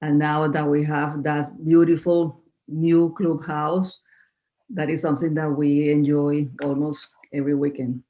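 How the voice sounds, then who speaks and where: A woman's voice: deep voice, level tone, measured pace, warm tone, highs cut off. A woman in her fifties from Venezuela, a native Spanish speaker, answering interview questions in a podcast in an earnest, slightly formal way. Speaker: guest.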